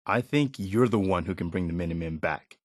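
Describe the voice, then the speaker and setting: Earnest militia leader in a video game, lowish voice, super dry sound, steady believer type. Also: male voice, fairly quick, no room sound. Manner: earnest, resolute. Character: earnest, dutiful male militia leader rallying settlers. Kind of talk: video game character dialogue.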